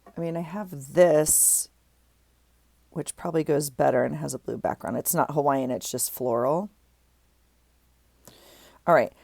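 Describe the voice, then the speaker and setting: A woman's voice: low-pitched, measured pace, vocal fry, long pauses, darkish tone, dry recording. A middle-aged woman with a stuffy nose, chatting casually as she works on a craft project, thinking aloud with many ums and restarts. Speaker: craft vlogger.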